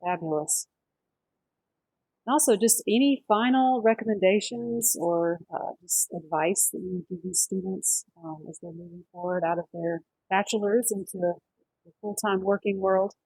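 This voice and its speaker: A woman's voice: mid-range voice, moderate pace, a little mellow, breathy edge, no room sound, rough recording. A woman moderating an online career session as host, politely and informally, over a video call.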